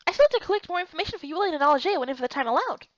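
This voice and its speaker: Expressive high-voiced woman narrating fantasy. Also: very fast, no echo, highs cut off. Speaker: narrator and voice actor for a visual novel playthrough. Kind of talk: expressive first-person scripted narration of a fantasy story.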